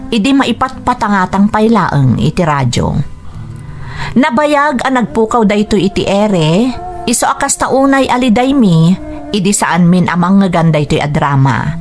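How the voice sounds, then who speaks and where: A woman with an Ilocano accent reading a letter as a radio drama host, in scripted narration. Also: mid-range voice.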